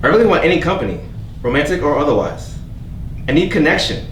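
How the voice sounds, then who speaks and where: A man playing a gentle, hopeful, quietly wounded character who speaks with halting sincerity in a staged play reading. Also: on the high side, sing-song. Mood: gentle, earnest. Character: gentle, earnest, hopeful stranger named Avery, quietly wounded. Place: theatre staged reading, recorded from a distance. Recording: echoey room, distant mic, background noise.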